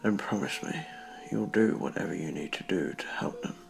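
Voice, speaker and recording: An actor playing a frail, earnest, stubborn old businessman who speaks haltingly and pleadingly in a radio play. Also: low voice, creaky. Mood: anxious. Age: older.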